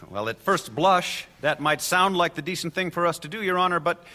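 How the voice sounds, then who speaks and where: A man's voice: sits high, animated, normal speed, pushed and bright. A man playing a confident, wry defense lawyer in a courtroom radio drama, formal and articulate. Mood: earnest, conceding.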